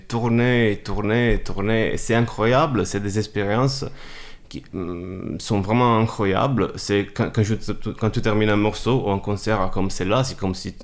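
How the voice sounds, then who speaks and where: A man whose first language is Italian, a musician guest speaking hesitantly and searching for words in an interview. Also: fairly low pitch. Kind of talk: casual interview talk.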